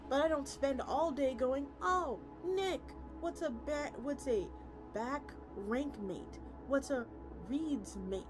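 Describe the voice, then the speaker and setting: A woman livestreamer reading a story aloud with acted dialogue and chatting casually with her viewers. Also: high voice. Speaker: VTuber streamer. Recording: music playing behind the voice. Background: music.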